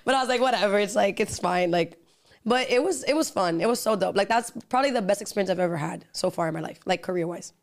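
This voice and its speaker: Fast-talking young woman guest. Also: medium pitch. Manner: animated, fillers like "like", switches into Spanish phrases. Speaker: guest, singer and actress. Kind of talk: casual interview chat.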